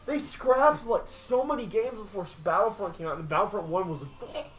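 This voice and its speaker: A man chatting casually about video games, animated and prone to exaggerate for emphasis. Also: high-pitched, brisk, poor audio.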